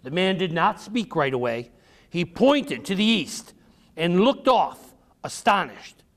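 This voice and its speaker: A man reading his own book aloud to children, in a dramatic, deliberate storytelling style with pauses. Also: sits high, big pitch swings, a bit bright. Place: library, reading to a group of children.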